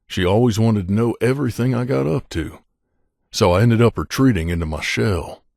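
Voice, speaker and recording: A male actor plays a guarded wasteland mercenary in a video game, confiding in his companion. His voice sits fairly low and is recorded close and dry. He speaks earnestly and with measure, like someone who carries guilt. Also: moderate pace, vocal fry, darkish tone, dry recording. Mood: bitter, reserved.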